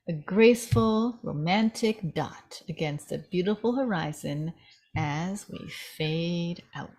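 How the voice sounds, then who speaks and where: A woman reading screenplay stage directions as a narrator in a dramatic scripted style. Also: on the low side.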